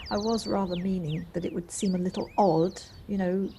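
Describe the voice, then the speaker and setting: A woman's voice: mid-range voice, fairly even intonation, normal speed, mellow, close to an everyday voice. A woman playing an eager, inquisitive newcomer in her forties in an amateur audio drama, sometimes hesitant. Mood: hesitant, warm. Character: inquisitive, eager forty-five-year-old woman newcomer. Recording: noisy home recording on personal device.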